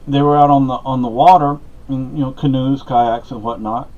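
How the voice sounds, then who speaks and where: A man's voice: medium pitch, steady tone, moderate pace, a little mellow. Middle-aged man rambling casually, slightly dull recording. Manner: digressive, anecdotal, conversational asides. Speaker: podcast host giving personal updates. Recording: dull lo-fi audio.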